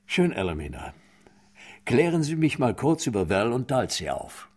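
An actor playing a blunt, sarcastic police detective questioning suspects in a radio crime drama. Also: male voice, mid-range voice, big pitch swings, normal speed, husky. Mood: pressing. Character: tough, sardonic male police detective.

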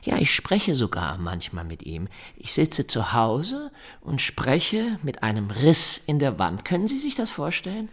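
An actor in a German radio drama playing a pedantic, insistent neighbour who needles and slyly presses for money. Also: male voice, mid-range voice, huge pitch swings, unhurried, few pauses, heavily acted. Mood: aggrieved, insistent.

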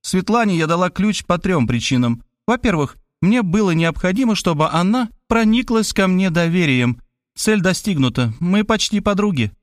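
A man's voice: sits high, lively intonation, normal speed, dry recording, studio quality, pitched above the performer's own voice. A man voicing a self-assured woman writer turned amateur sleuth who lectures in a patronizing, matter-of-fact way. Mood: lecturing.

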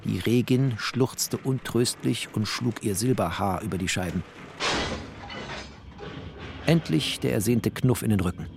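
An actor playing a bookish, weary traveller who narrates in terse, deliberate, expressive literary prose. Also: male voice, lowish voice. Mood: terse, brooding. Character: bookish male first-person narrator, a weary refugee traveller. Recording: clear noisy ambience behind the voice.

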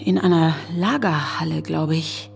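An actress playing a sceptical, sarcastic woman in an audio drama, wry and blunt, who can fall into a hushed, trance-like delivery. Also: low voice, a little slow, creaky, soft background music. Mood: dazed. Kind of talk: German audio drama acting.

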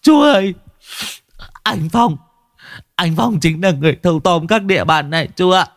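A man's voice: a little high, normal speed, dry recording, clean studio sound, voice pushed up, natural voice. A man doing a sniveling, tearful young thug. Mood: whimpering plea. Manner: tearful pleading, stammering.

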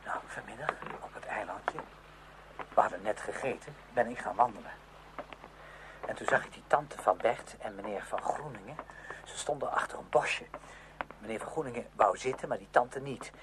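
A man's voice: fairly low pitch, moderate pace, natural voice. A man acting a moody, confiding teenage schoolboy in a Dutch radio play. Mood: eager, gossiping. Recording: hum and faint noise, radio drama recording.

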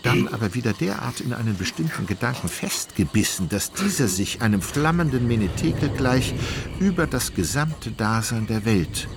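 A man's voice: mid-range voice, big pitch swings, moderate pace, gravelly, very noisy. A man narrating a literary radio drama as scripted storytelling.

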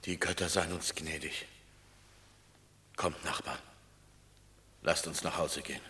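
Rueful Roman citizen, echoing hall. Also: male voice, very deep voice, fairly even intonation, sharp tone, heavily acted. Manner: slow, regretful. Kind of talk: classical radio drama. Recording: distant, echoey hall-like acoustic.

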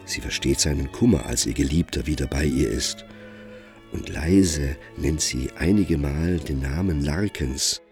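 A man narrating a literary radio play in a grave, measured and expressive manner. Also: rumbling low, faint music behind.

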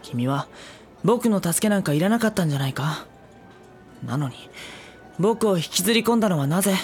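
A man playing a gentle, exasperated, self-deprecating high school boy in a Japanese anime audio drama. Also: animated, brisk, faint music behind. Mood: questioning.

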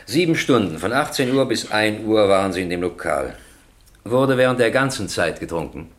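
An actor playing a stern, impatient presiding judge in a radio crime drama, questioning formally and insistently. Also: male voice, on the low side, lively intonation, moderate pace, lightly acted. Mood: stern, probing.

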